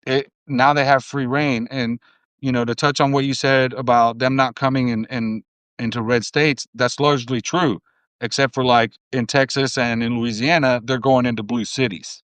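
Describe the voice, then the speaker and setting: A man from New Jersey talking politics in a casual chat, steady and full of "you know". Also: mid-range voice, normal speed, smooth, no echo. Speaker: guest.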